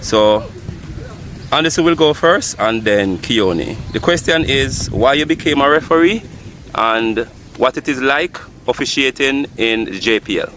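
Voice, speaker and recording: A man's voice: mid-range voice, expressive, measured pace, fairly bright tone, slight background noise. A man conducting short outdoor interviews, introducing referees with brief, polite prompts.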